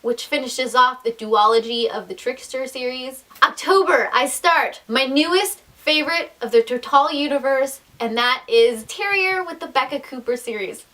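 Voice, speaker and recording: A woman's voice: sits high, normal speed, few pauses, pushed and bright. A young adult woman chatting casually to viewers as a book vlogger, enthusiastic and emphatic, with self-deprecating asides.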